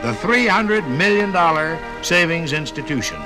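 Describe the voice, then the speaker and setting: Higher-pitched American man, 1950s radio announcer. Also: animated, normal speed. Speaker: radio narrator and sponsor announcer. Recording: 1958 radio program from LP record. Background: music.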